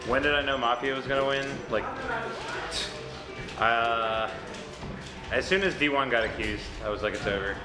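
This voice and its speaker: A man's voice: medium pitch, normal speed, long pauses. A young man co-hosting a stream, easygoing and agreeable. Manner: easygoing, agreeable, brief replies. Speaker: stream co-host. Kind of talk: casual stream chat. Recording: echoey room with music.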